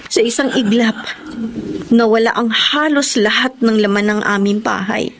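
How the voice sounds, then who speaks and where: Slightly high-pitched young woman, earnest, caring big sister, radio drama, faint background noise. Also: steady tone, normal speed, fairly bright tone. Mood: reflective. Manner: earnest, reassuring. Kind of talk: emotional radio drama acting.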